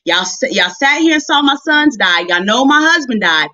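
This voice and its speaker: High-voiced American woman preaching, roomy sound. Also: expressive, normal speed, pushed and bright. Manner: folksy, emphatic, repeats phrases for emphasis. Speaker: minister and show host preaching. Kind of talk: conversational storytelling sermon. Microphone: livestream audio. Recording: roomy, slightly dull livestream audio.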